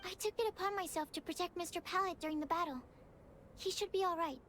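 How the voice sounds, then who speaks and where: A woman's voice: extremely high pitch, fast talker, fairly bright tone, a little hiss or hum. A woman playing a timid, earnest, bookish young alchemist assistant in a video game, hesitant and polite.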